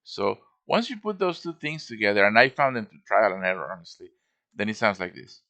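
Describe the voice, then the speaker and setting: Lively, gravelly man narrating a tutorial. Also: fairly low pitch, fairly quick. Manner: informal, thinking aloud as he works. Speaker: game modder giving a tutorial. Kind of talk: casual screen-recorded show-and-tell.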